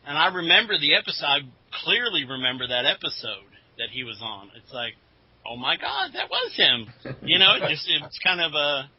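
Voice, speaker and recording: A man's voice: on the high side, wildly swooping pitch, normal speed, husky. A man co-hosting a podcast, chatting casually and informally like an enthusiastic fan. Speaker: podcast co-host. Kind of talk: casual chat about comics. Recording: dull, lo-fi podcast audio.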